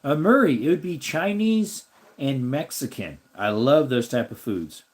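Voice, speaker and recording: A man with a slightly raspy voice hosts a relaxed live stream. He rambles and thinks aloud as he answers his viewers' comments. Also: medium pitch, unhurried. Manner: rambling, thinks aloud while looking things up.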